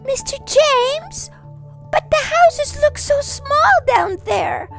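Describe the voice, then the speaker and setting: A wide-eyed little boy who dreams of flying, voiced by a woman, breathless and pleading. The voice soars high and swings wildly, with a gravelly catch underneath. It sounds like a bedtime story told aloud. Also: lively intonation, slow pace, fairly bright tone, soft background music, heavily acted. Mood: curious.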